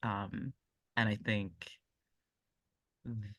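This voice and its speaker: A man's voice: fairly low pitch, flat delivery, drawn-out words, bright, forceful tone, dry recording. A man playing a tabletop game, casually and tentatively talking through his character's choices. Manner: tentative, thinking aloud.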